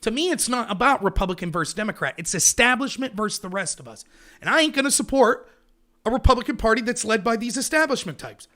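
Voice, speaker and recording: Man, very high-pitched, livestream rant, sarcastic and emphatic. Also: very animated, fast talker, sharp tone. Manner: emphatic, sarcastic, rhetorical questions.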